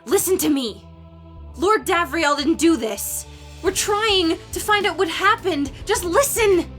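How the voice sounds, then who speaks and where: A woman's voice: very high-pitched, lively intonation, measured pace, fairly bright tone, faint music behind, exaggerated voice. A woman playing an anxious, idealistic teenage village girl in a fantasy audiobook, pleading earnestly and urgently. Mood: desperate, pleading. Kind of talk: dramatized fantasy audiobook acting.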